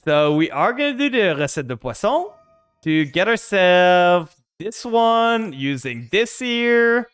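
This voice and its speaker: A man's voice: high-pitched, very animated, slow, fairly bright tone, smooth, faint music behind. A man with a French-Canadian accent, streaming a card game and talking his moves through with chat. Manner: thinks aloud, narrating each play to chat. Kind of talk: casual game stream commentary.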